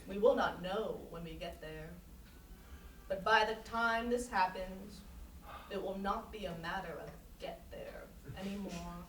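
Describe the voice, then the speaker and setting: A woman's voice: medium pitch, measured pace, natural voice. A woman playing a domineering, philosophizing interviewer in a staged play reading, making grandiose theatrical pronouncements. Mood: mystical, unmoored. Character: domineering, philosophizing interviewer pushing another to abandon ego. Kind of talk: staged play reading before a live audience. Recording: echoey stage from far off, audience noise.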